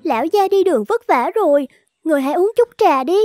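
An actress plays a young cartoon servant, solicitous and respectful. The voice is extremely high and sounds dry and close. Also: female voice, expressive, fast talker, darkish tone, no echo, soft background music. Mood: attentive. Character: young, attentive servant of the household. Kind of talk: animated folk tale dubbing.

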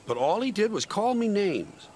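A man's voice: fairly high pitch, big pitch swings, unhurried, pitched above the performer's own voice. A man voicing a hard-working, earnest little diesel engine in a children's story, speaking plainly. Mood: hurt.

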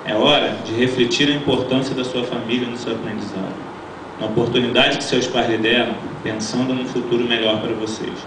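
A middle-aged man, a teacher, reads an earnest graduation speech from a lectern, his voice at a middle pitch. He is heard from far back in a large, echoing auditorium. Constant crowd ambience and noise sit under his words. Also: fairly even intonation. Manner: earnest, measured, reads from notes. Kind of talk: formal read-out ceremonial speech. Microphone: stand mic at lectern, filmed from the audience. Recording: echoey auditorium, from far off, noisy.

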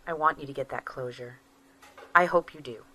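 A woman's voice: very deep voice, moderate pace, sharp tone, low-quality recording. An actress playing a tired, guarded wife who is weighing a divorce from her husband in an audio drama. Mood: weary. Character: Maria, estranged wife weighing divorce, weary.

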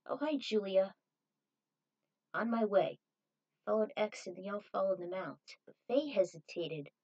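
A woman's voice: medium pitch, darkish tone, low-quality recording. Woman, mid-range voice, story narration, reading aloud, fan fiction author.

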